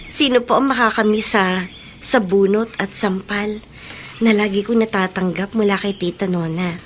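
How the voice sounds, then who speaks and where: A woman's voice: mid-range voice, normal speed, a little hiss or hum, natural voice. An actress playing a meek, earnest teenage girl in a Filipino radio drama, with a polite, hesitant way of talking.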